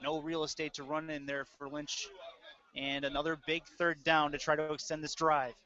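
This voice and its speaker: A man's voice: fairly high pitch, level tone, moderate pace, pushed and bright. A man doing live play-by-play football commentary, mixing clipped present-tense calls with casual asides. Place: indoor audio-only webcast. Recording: dull lo-fi audio.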